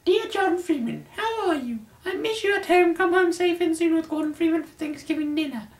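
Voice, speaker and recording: A man voicing a doting mother in a sweet, earnest falsetto, reading out her letter. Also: fairly quick. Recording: roomy, distant camera microphone.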